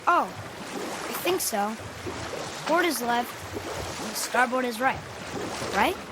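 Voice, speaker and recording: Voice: medium pitch, lively intonation, slow pace, very noisy. An actor playing a curious, eager young boy in a video game, talking in an earnest, inquisitive, casual way. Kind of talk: dramatic video game acting.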